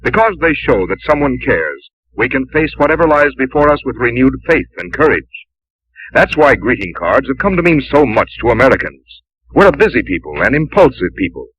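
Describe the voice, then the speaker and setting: A man reading a scripted 1950s radio sponsor message with polished, sentimental, deliberate phrasing. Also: medium pitch, big pitch swings, fairly bright tone, slightly rough, low-quality recording. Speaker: radio announcer. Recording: old 1950s radio broadcast recording.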